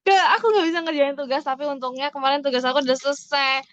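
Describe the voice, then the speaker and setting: A young Indonesian woman chatting casually as a podcast guest, often halting to search for her words. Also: high-pitched, fairly bright tone, no room sound, highs cut off. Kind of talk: casual chat.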